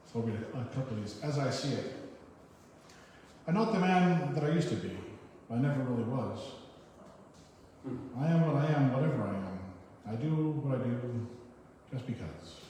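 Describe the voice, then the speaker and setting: A man giving a poetry reading, with informal and somewhat halting spoken introductions. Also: medium pitch, lively intonation, dark tone. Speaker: poetry reader at an open reading. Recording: very echoey hall, far mic, noisy.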